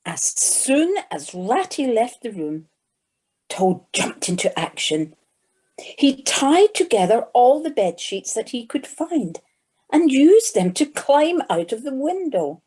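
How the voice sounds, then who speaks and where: A woman's voice: fairly high pitch, very animated, takes it slowly, warm tone, husky. A woman narrating an audio play as a storyteller, theatrical, with emphasis on key words. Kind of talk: scripted dramatic storytelling. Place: living room, recorded over video call.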